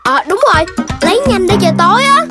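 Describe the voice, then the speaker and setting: Very high, excitable cartoon child animal. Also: fast. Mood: excited. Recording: loud children's music behind.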